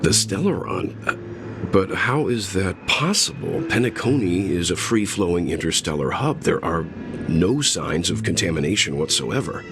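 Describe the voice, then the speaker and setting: A man's voice: low-pitched, animated, normal speed, noisy background. An actor voicing a composed man in a game cutscene, asking level, reasoned questions. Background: game score music.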